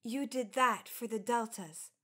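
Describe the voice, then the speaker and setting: A woman's voice: on the high side, measured pace, fairly bright tone, breathy edge. A woman playing an eager, anxious young sorority suffragette in a radio drama, earnest and questioning. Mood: eager, surprised.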